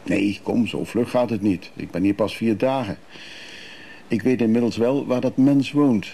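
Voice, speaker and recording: Low, gravelly, fussy man. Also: moderate pace, warm tone. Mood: insistent. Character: fussy, nervous, rambling male traveller. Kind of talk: radio drama acting. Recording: noisy radio play recording.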